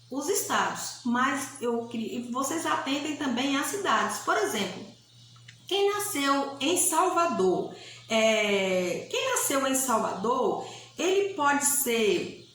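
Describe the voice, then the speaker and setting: A woman with a Bahian Brazilian accent teaches in a slow, deliberate way, repeating her key points for pupils. Her voice sits a little high and rings in a very echoey room with faint noise behind it. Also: a bit bright. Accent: Bahian Brazilian Portuguese. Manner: didactic, repeats key points, often checks with tag questions. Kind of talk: recorded grammar lesson for pupils.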